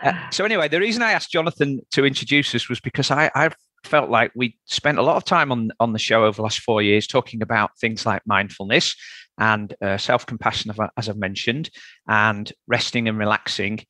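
A man's voice: mid-range voice, fairly quick, sharp tone, no echo. Yorkshire man hosting a podcast. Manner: friendly, chatty, with fillers. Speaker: podcast host. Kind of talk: podcast interview.

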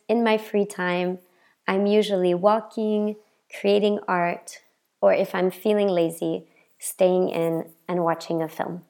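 A woman from the American South with a Southern accent, introducing herself as a language teacher in a friendly, measured podcast talk. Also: mid-range voice, a little mellow. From Southern United States. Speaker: English teacher. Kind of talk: scripted podcast self-introduction.

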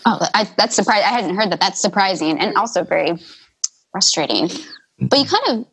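Husky-voiced woman hosting a panel. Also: mid-range voice, expressive, a little fast, fairly bright tone, poor audio. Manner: conversational, asks the panel questions. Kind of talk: casual panel discussion.

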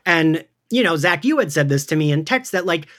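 A man hosting a podcast, chatting casually and informally with lively delivery. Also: a little high, fairly quick. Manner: animated, informal. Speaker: podcast host. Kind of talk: casual fan podcast chat.

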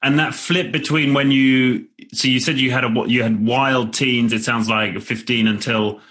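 A man's voice: mid-range voice, fairly even intonation, unhurried, fairly bright tone. A man interviewing someone conversationally, tentative and often rephrasing his questions midway.

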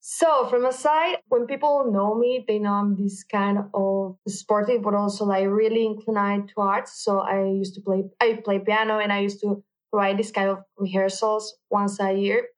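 A woman's voice: medium pitch, level tone, moderate pace, few pauses, rough recording. Mexican woman speaking earnestly. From Mexico City, Mexico. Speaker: MBA student guest. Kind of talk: interview answers.

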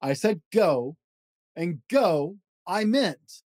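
A man reads a children's storybook aloud in a slightly high, soft-edged mellow voice. His delivery is expressive and rhythmic, leaning playfully on the verse. The recording is close and dry. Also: very slow, dry recording. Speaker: podcast host reading children's books.

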